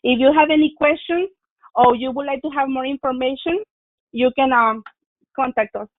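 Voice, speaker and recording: A woman's voice: sits high, fairly even intonation, moderate pace, dry recording. A woman presenter reading an instructive webinar talk from a script. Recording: tinny phone-line audio, dry.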